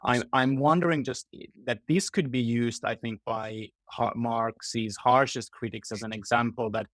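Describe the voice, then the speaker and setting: Medium-pitched male interviewer, dry close sound. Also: steady tone, normal speed, fairly bright tone, no room sound. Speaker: podcast host, interviewer. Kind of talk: conversational interview questioning.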